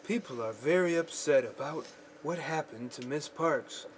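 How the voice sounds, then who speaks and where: A man playing a calm, patient father in a school radio drama, speaking deliberately and explaining things. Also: a little high, natural voice, poor audio. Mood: patient, serious. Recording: faint background noise, home recording.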